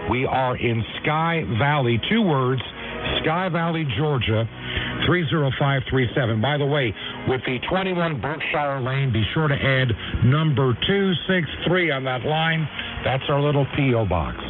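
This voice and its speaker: A man hosting a radio program, talking to listeners in a sign-off style and giving details deliberately. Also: mid-range voice, expressive. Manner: spells out and repeats details deliberately. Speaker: radio host. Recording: lo-fi shortwave radio with music.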